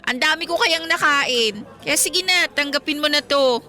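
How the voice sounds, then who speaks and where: An actress playing a playful, stubborn young woman in love in a Filipino radio romance, teasing and expressive. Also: high voice, moderate pace. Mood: playful, insistent. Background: music.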